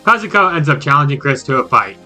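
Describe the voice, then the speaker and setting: A man's voice: mid-range voice, lively intonation, fast, bright, forceful tone, faint music behind. A man giving a casual, scripted recap of a video game in a jokey, slangy way with exaggerated reactions.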